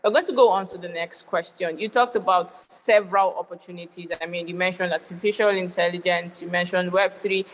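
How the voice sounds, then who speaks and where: A woman's voice: lowish voice, fairly even intonation, a little fast, hardly any pauses, big-room sound, low-quality recording. A woman hosting a talk show, effusively praising her guest before asking the next question. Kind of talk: hosting an interview.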